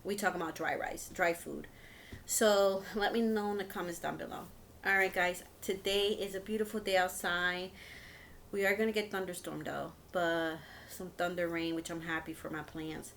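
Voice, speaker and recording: A woman's voice: lowish voice, fairly even intonation, normal speed, a bit bright, slight background noise. A woman in her fifties chatting casually to her viewers as a video host, in a rambling, hesitant, informal way. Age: 50s. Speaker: video host of a home and shopping channel.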